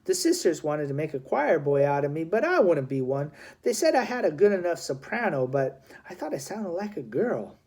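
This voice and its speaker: A man reads as a plucky city boy who has run away from home in a historical storybook. He sounds earnest, polite and anxious to please, with a mellow tone. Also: fairly high pitch, big pitch swings, moderate pace, few pauses.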